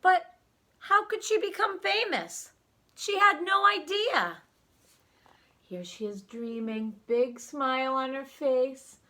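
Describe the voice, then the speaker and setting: Animated, bright-voiced woman reading to children. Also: sits high, slow. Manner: expressive, dramatic emphasis, asks the listener questions. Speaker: children's story reader. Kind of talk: animated read-aloud storytelling for young children.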